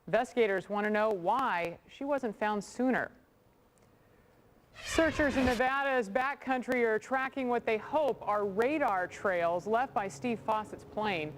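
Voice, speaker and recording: A woman's voice: medium pitch, slight background noise, low-quality recording. A woman news anchor reading national stories from a script on a television newscast.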